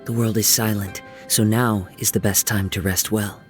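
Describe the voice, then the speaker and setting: A man voicing a gentle young wandering samurai in a video game, soft-spoken and reflective, with lyrical, poetic phrasing. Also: lowish voice, steady tone, moderate pace, close to an everyday voice. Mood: serene. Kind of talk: video game character voice lines. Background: music.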